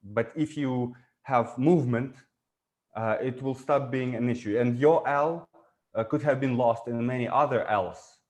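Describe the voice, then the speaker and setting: A man's voice: mid-range voice, measured pace, darkish tone. A man, not an English speaker, talking through ideas in a conversational discussion, thoughtful and prone to restarts. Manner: thoughtful, explains at length with frequent restarts. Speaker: guest.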